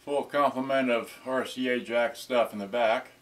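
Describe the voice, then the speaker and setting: A man in his sixties talking casually and haltingly, muttering to himself as he demonstrates something to a camera a short distance away in a small indoor room. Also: medium pitch, fairly even intonation, a bit bright. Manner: halting, muttering to himself while handling items. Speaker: vintage electronics unboxing vlogger. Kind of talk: casual off-the-cuff demonstration talk.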